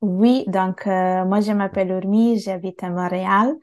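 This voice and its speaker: A woman who grew up in Italy, a native Bengali speaker, speaking as a guest in a casual interview and pausing often to search for words. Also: mid-range voice, steady tone. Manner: hesitant, many fillers and restarts.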